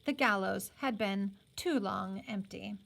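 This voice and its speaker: A woman reading a novel aloud as a teacher would, in an expressive storytelling style. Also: medium pitch, a little slow, gravelly. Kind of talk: expressive story read-aloud with brief explanatory asides.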